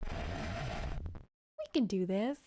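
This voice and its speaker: A woman's voice: deep voice, wildly swooping pitch, drawn-out words, noisy background. A woman streaming a video game, playfully reading dialogue aloud and exclaiming in a theatrical way. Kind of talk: live game playthrough with dramatic reading of on-screen dialogue. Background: video game music.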